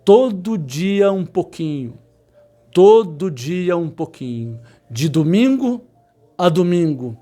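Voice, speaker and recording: Fifties man, high-pitched, an emphatic, repetitive motivational instructor. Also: big pitch swings, warm tone. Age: 50s. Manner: repetitive, emphatic, insistent, with sudden slow stressed words. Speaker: electronics instructor. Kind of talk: motivational talk to students.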